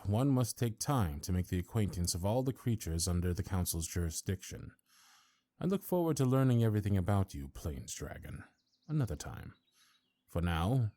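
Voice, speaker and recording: A man playing an ancient, stately high councilor in a fantasy audio drama, formal and pompous, with slyly condescending courtesy. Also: mellow. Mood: curious, courteous. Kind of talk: audio roleplay fantasy acting.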